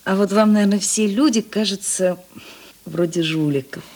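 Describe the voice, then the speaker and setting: A woman acting a sensitive, self-doubting theatre actress in a Russian radio play, breathy and hesitant. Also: mid-range voice, moderate pace, lightly acted. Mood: gentle, curious. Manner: soft, hesitant, emotional.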